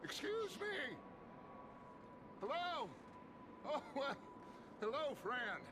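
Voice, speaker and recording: An actor playing a middle-aged, silver-tongued outlaw gang leader in a video game, theatrical, courteous and persuasive. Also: male voice, very high voice, very animated, very slow, background noise, full character voice. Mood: politely pleading. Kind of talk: dramatic video game acting. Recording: music behind the voice.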